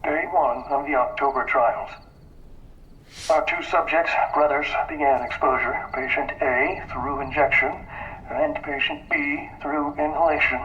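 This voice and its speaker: An actor playing a cold, methodical researcher dictating experiment logs in a detached clinical manner, heard through a tape filter in a game. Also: male voice, a little high, fairly even intonation, unhurried, sharp tone. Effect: radio-like recorded tape filter. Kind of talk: scripted audio log. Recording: muffled distant tape playback, lo-fi.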